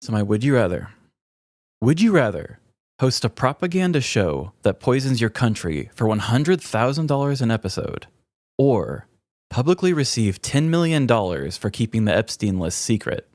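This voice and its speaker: A man's voice: medium pitch, big pitch swings, normal speed, darkish tone, dry recording. Wry American man, close dry sound. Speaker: podcast co-host. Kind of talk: casual comedic banter.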